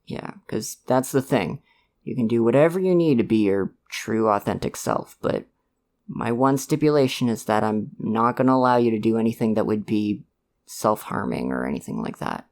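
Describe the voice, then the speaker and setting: A man playing a supportive, gently protective dad in an ASMR roleplay, speaking in a hesitant, earnest, reassuring way to the listener. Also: on the low side, expressive, moderate pace. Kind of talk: ASMR roleplay, one-sided conversation with listener.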